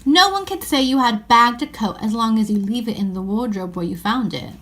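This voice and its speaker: A woman's voice: fairly high pitch, brisk, lightly acted. A woman voicing an earnest, fair-minded eldest-brother schoolboy in a children's story, with emphatic old-fashioned phrasing.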